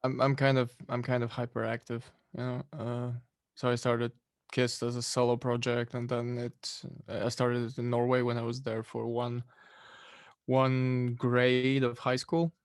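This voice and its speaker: Gravelly young man from Poland, relaxed. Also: mid-range voice, unhurried, no echo, studio quality. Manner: relaxed, rambling, many fillers and "like". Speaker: musician guest. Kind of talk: casual interview answers.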